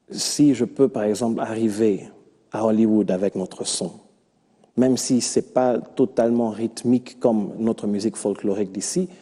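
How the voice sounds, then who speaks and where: Very dark-voiced, husky Congolese-accented man. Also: on the low side. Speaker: musician being interviewed. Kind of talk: interview answers. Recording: long reverberant hall-like echo.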